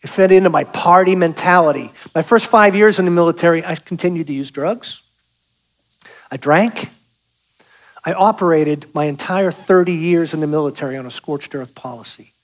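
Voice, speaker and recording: A man's voice: a little high, normal speed, rough recording. A man, giving a folksy, joking testimony sermon and calling out to the congregation. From South Boston, US. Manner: folksy, joking, calls out to the audience. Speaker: guest speaker giving testimony.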